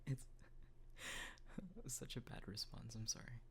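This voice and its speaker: A man, a voice actor, answering fan questions in a soft, half-whispered way, hesitant and full of pauses. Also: medium pitch, level tone, vocal fry. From Texas, US. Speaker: voice actor, channel host.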